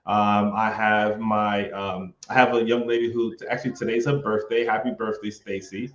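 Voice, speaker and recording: A man's voice: on the low side, moderate pace. A man from New York, a real estate investor, talking casually and energetically with lots of slang as a guest on a livestream. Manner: energetic, loose, slangy and friendly. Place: home in Atlanta, echoey room. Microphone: webcam or camera mic on a video call. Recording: echoey, dull video-call audio.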